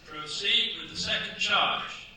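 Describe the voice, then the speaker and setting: A man's voice: sits high, unhurried, sharp tone, full character voice. A man playing a stern, formal presiding judge in a staged radio play. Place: staged performance in a cultural centre. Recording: echoey hall, distant mic, stage noise.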